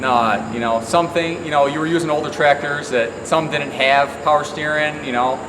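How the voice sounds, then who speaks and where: A plain-spoken man giving informal interview answers as a farm employer, talking haltingly with many filler phrases. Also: a little high, steady tone. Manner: plain-spoken, halting, frequent "you know". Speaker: farm employer and heavy equipment operator. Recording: distant, echoing, loud vehicle and ventilation hum.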